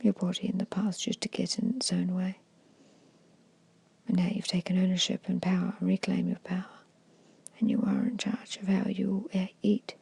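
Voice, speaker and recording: A woman guides an ASMR meditation in a very breathy whisper. Her tone is soft and mellow, with little rise or fall in pitch. She delivers gentle, repeated hypnotic suggestions in a hushed way. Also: level tone, normal speed, no room sound. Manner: hushed, repetitive, gently instructing.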